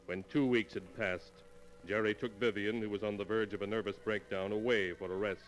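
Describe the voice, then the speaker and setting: An actor playing a solemn, ominous narrator, measured and dramatic, in a 1940s radio horror series. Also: male voice, fairly low pitch, fairly even intonation, natural voice, low-quality recording. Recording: old golden age radio recording. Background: prominent music.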